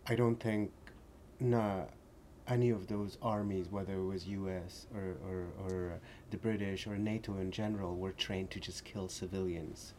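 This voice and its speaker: Deep, mellow-voiced man from Afghanistan. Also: little pitch movement, slight background noise. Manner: earnest, halting with many restarts. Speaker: shop owner, guest. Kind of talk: interview conversation.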